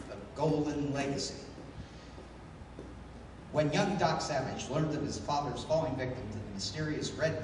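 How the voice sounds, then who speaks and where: A man announcing and narrating an old-time radio adventure serial and its sponsor pitch in a theatrical style. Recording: echoey hall from far off, noisy.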